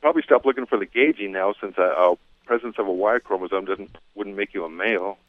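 A man's voice: on the low side, fast, no room sound. A man calling in to a radio show, talking hesitantly with fillers. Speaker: caller. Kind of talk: phone call to a radio show. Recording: tinny phone line.

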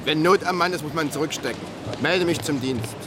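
An actor playing a terse, stubborn and slightly awkward police detective in a radio drama. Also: male voice, fairly high pitch, fairly quick, heavy background noise, close to an everyday voice. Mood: insistent. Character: dogged, slightly flustered male police detective.

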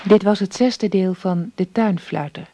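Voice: mid-range voice, unhurried, dark tone, slight background noise. An announcer reading scripted credits for a radio drama serial.

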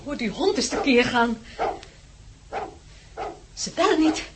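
Anxious wife, high-pitched voice. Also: female voice, animated, takes it slowly. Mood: frightened. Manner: worried, urgent, polite. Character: anxious, frightened wife waiting at night. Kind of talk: radio play acting. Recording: noisy radio play recording.

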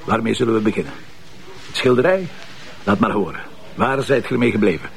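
Gravelly, sardonic Flemish police commissioner. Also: male voice, on the low side, animated, brisk, slight background noise. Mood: sardonic, threatening. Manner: blunt, sardonic. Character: gruff, sarcastic, driven male police commissioner. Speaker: lead detective in a TV crime series. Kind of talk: naturalistic TV crime drama acting.

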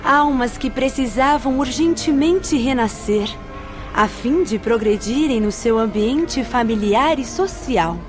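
A stern spirit nun in a radio drama, lecturing visitors in a grave, measured tone. Her voice sits a little high. A music bed runs clearly beneath every line. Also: lively intonation. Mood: solemn. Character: solemn nun spirit, stern female guide.

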